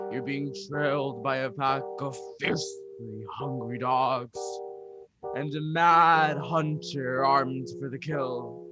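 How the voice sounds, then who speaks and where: A man playing a grave, suspenseful announcer on an old-time radio adventure play, speaking in a dramatic, deliberate way. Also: fairly high pitch, highs cut off. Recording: music under the voice. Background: music.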